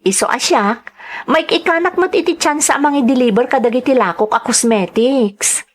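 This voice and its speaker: A woman's voice: high-pitched, normal speed. An actress playing a devoted, sentimental young wife and mother in an audio drama, speaking earnestly and emotively. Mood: affectionate, chatty. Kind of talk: Ilocano audio drama, narration and dialogue.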